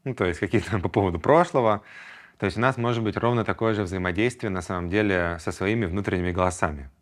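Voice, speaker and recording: A man's voice: deep voice, fast. A man in his thirties hosting a solo podcast, talking reflectively and acting out his inner voices in quoted asides. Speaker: podcast host.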